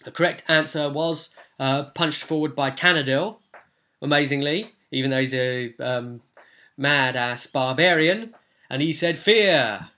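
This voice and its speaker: A man's voice: on the high side, a little slow, pushed and bright. A man talking casually and enthusiastically as a game master, recapping a tabletop role-play session in a rambling, informal way. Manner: chatty, enthusiastic, informal slangy asides.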